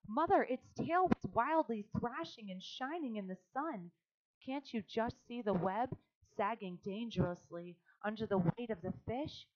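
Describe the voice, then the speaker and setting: An earnest, imaginative little farm girl, put on by a woman reader, pouring out her tale eagerly and insistently. Her voice sits a little higher and brighter than the narration, and the recording sounds dull and lo-fi. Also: lively intonation, a little slow. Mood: excited.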